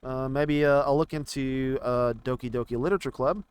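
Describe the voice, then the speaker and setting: A man giving casual, rambling commentary on video games, haltingly thinking out loud as he browses. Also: medium pitch, fairly even intonation, a little hiss or hum. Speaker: gaming video creator.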